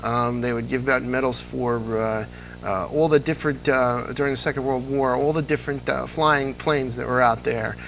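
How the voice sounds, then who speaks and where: A man as an interview guest and radio fan, explaining and telling stories in casual, animated chat. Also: mid-range voice, normal speed, vocal fry, a little hiss or hum, poor audio.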